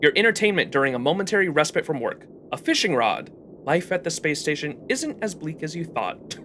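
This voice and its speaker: A man's voice: fairly high pitch, big pitch swings, brisk, bright, forceful tone, slight background noise. A male live streamer reading game text aloud expressively, with his own commentary mixed in.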